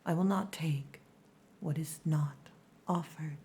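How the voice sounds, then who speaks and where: Casting: actress for a female orc girlfriend, ASMR roleplay. Low, breathy whisper, softly commanding, possessive yet tender. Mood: tender, restrained. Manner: slow, hushed, softly commanding.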